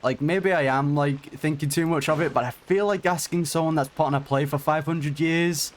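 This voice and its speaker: A man's voice: on the high side, steady tone, moderate pace, a little hiss or hum. A man giving casual, off-the-cuff reactions to a video game in an informal, slangy, chatty way. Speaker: gaming reaction streamer.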